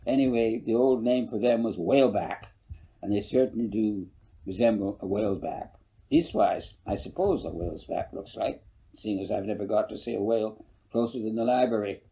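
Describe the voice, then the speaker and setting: A man's voice: lowish voice, normal speed, warm tone, lightly acted, poor audio. A man voicing a folksy, good-humored older farmer who rambles and corrects himself, in a storybook reading. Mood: musing, amiable. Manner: rambling, homespun, self-correcting. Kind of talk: audiobook character voice.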